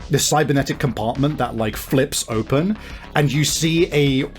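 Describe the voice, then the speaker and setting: A man runs a tabletop role-playing game, improvising his narration in a casual way. He often pauses to think aloud and rework a phrase. His mid-pitched voice sits over faint music. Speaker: game master.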